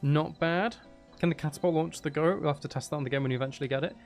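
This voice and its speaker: A man's voice: a little high, racing, soft background music. A man chatting casually on a live gaming stream, rambling and half talking to himself as he plays. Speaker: game streamer.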